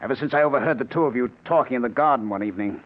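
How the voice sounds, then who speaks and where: An actor playing a scheming, vengeful husband who feigns madness, with sardonic, theatrical menace in an old-time radio mystery. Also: male voice, medium pitch, racing, close to an everyday voice. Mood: cold menace. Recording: tinny, noisy old radio recording.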